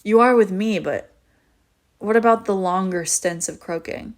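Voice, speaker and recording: A woman's voice: mid-range voice, unhurried, close to an everyday voice. Wry, teasing young vampire woman, acted by a woman. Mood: curious, clinical. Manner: casual, wry, teasing, swearing freely. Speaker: audio roleplay voice actor. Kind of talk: intimate scripted audio roleplay, conversational.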